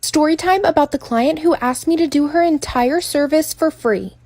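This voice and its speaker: A woman's voice: sits high, normal speed, a little mellow, no echo. A woman giving a scripted storytime narration in an orderly, carefully worded, explanatory way. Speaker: makeup artist telling client stories.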